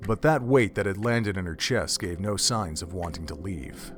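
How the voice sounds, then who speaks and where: A man's voice: low-pitched, animated, normal speed, a little hiss or hum. A man reading scripted narration for an audio drama in a solemn, literary storytelling manner.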